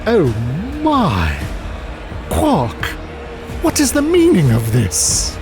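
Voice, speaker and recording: A man's voice: sits high, huge pitch swings, extremely slow, over music, heavily acted. A man playing a droll, prim servant in an audio drama, with an arch, languid, mocking manner. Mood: arch surprise. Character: droll, prim major-domo servant, archly sarcastic and unflappable.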